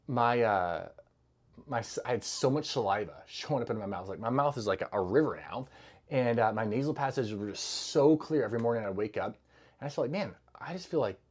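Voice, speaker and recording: A middle-aged man explaining health habits in a relaxed, chatty way. He wanders through his points with plenty of fillers and self-corrections, at an ordinary pitch, sounding like a clinician talking one-on-one with a patient. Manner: loose, conversational, many fillers and restarts. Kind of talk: casual educational talk to camera.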